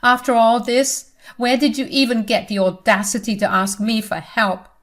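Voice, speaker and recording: A woman's voice: medium pitch, steady tone, unhurried, lightly acted. A woman doing a furious scolding older mother. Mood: outraged. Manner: loud, scolding.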